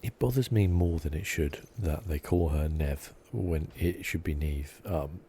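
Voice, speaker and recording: A man giving soft-spoken ASMR commentary as he plays a game, hushed, relaxed and meandering, close to the mic. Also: a bass voice, measured pace, a little hiss or hum. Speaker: ASMR gaming host.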